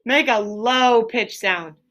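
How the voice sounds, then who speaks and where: A woman's voice: a little high, takes it slowly, very noisy. A woman teaching an elementary class, quizzing pupils in a firm, directive manner. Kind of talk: classroom lesson with question and answer.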